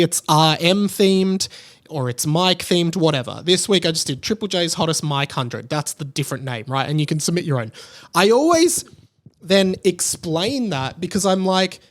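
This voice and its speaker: A man's voice: fairly high pitch, animated, moderate pace. An Australian man hosting a solo podcast in a casual, rambling way, often interrupting himself and drifting into tangents. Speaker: podcast host.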